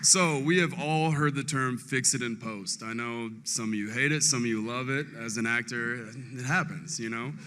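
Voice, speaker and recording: A man's voice: medium pitch, moderate pace, few pauses, bright, forceful tone. A man presenting an award at a ceremony, speaking as an actor to the room from a theatre stage. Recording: echoey theatre hall, noisy stage mic.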